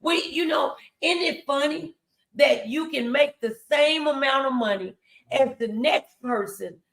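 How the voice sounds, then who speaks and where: Female faith-show host, noticeable vocal fry, emphatic preacherly delivery aimed at viewers. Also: high voice, a little slow, fairly bright tone. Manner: exhortatory, emphatic, addressing viewers directly. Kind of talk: motivational faith talk on a livestream.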